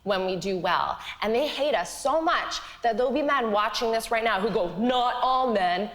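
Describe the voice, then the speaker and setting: A woman's voice: fairly high pitch, moderate pace, a bit bright. A woman who is a guest on a comedy panel, arguing in emphatic, building rhetorical rants. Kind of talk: impassioned comedic argument. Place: TV studio with audience.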